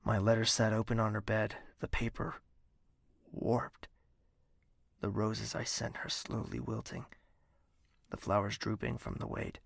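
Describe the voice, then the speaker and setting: A lovelorn, sleepless castle dweller, played by a man, narrates a fantasy audio drama. His mid-pitched voice is breathy and husky, and he lingers slowly over short, pensive phrases full of melancholy. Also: little pitch movement, no room sound, studio quality. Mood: melancholic, searching.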